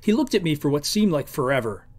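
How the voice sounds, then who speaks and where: A man's voice: fairly high pitch, very animated, fast, a little mellow. A man hosting a read-aloud show, narrating from a script to preview books.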